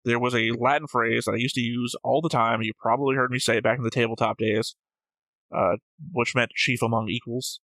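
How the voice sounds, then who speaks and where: A man's voice: medium pitch, steady tone, a little fast, a bit bright, dry recording, low-quality recording. A man hosting a reaction show in casual discussion, talking in an argumentative, rambling way and often correcting himself. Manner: argumentative, digressive, self-correcting. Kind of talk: casual discussion, reading out viewer comments.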